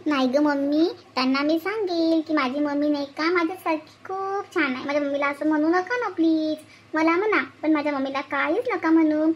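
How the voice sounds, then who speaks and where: A woman's voice: high-pitched, brisk, lo-fi sound, lightly acted. A woman voicing a pampered, clingy young daughter in a cartoon family skit, speaking in a wheedling, childlike manner. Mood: pleading.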